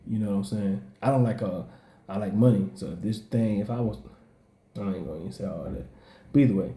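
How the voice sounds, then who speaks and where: Southern male blogger, deep mellow tone, loose profane commentary, roomy echo. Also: fast talker. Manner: rambling, repetitive, profane street slang. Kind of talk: casual commentary vlog.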